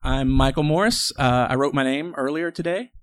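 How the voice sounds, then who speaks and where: A man's voice: mid-range voice, moderate pace, no echo, studio quality. A man podcaster introducing himself on a fan panel, rambling and self-deprecating. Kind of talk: convention panel chat.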